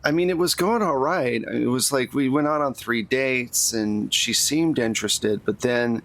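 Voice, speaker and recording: A man's voice: medium pitch, unhurried, a bit bright, dry recording. A man voicing a hesitant, rambling, lovelorn suited man in a tabletop game. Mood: glum confiding.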